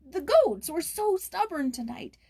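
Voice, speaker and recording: Lady doing a scared little peasant boy, high shaky shrill voice, pleading, storybook style. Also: lively intonation, a little slow, creaky, darkish tone, no echo, full character voice. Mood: anxious, pleading. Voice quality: shrill, quavering. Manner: anxious, pleading. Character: small, frightened, careworn nine-year-old peasant boy.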